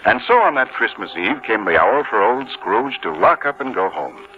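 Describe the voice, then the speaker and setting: A man's voice: lowish voice, sing-song, normal speed, soft background music. A man narrating a 1950s radio play in a theatrical, emphatic storytelling style. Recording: dull 1950s radio broadcast recording.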